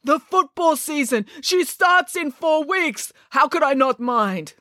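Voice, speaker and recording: A woman acting out an indignant Russian gym teacher from a children's storybook. He is excitable and exclamatory, his voice pitched high and pushed hard, recorded close and dry. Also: dry recording, exaggerated voice. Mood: furious. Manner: indignant, exclamatory, halting when furious.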